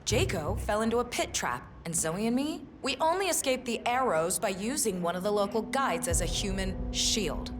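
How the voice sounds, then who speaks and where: An actress playing a proud, sardonic leader of an elite secret society in a video game cutscene, with a theatrical, cutting delivery. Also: female voice, mid-range voice, big pitch swings, normal speed, hardly any pauses, a little hiss or hum, lightly acted. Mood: scornful, cold. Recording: echoey hall reverb, loud music behind.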